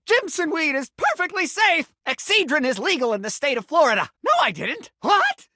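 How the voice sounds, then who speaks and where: A man's voice: very high voice, very animated, moderate pace, sharp tone, dry recording, studio quality, heavily acted. A man voicing a pompous, melodramatic talking sink in a video game, with the formal diction of a self-important claims adjuster. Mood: indignant. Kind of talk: video game character acting.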